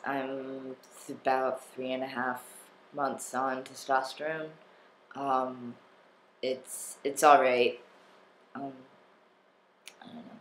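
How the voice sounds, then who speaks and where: A man's voice: medium pitch, fairly bright tone, roomy sound, a little hiss or hum, rough recording. A young man talking casually in a personal vlog, rambling and self-deprecating, with many fillers and restarts. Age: young adult. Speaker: vlogger.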